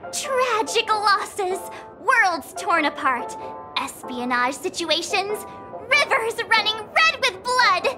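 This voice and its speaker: A very high, chirpy teenage game heroine. She is dramatic and chatty, swinging widely in pitch while keeping an unhurried pace over clear background music. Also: exaggerated voice. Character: bubbly, dramatic, excitable teenage girl adventurer. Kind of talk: animated video game voice acting.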